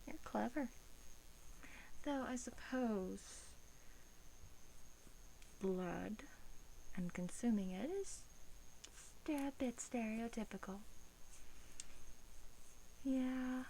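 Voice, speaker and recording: A woman playing a coaxing, politely menacing vampire in a soft-spoken ASMR roleplay, gentle and hesitant, often slipping into a whisper. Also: mid-range voice, a little hiss or hum, low-quality recording. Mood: amused, wry.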